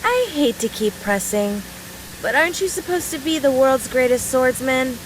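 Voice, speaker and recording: A woman's voice: high voice, animated, normal speed, a little hiss or hum, poor audio. A woman voice-acting a brash, mischievous young woman fighter from a video game, teasing in a playful, folksy, mock-serious way.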